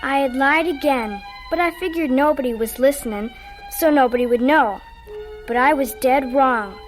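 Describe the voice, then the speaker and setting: Woman as a high-voiced, stubborn young girl. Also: normal speed, faint music behind, lightly acted, poor audio. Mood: confiding. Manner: childlike, plain-spoken. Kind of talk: radio drama acting and narration.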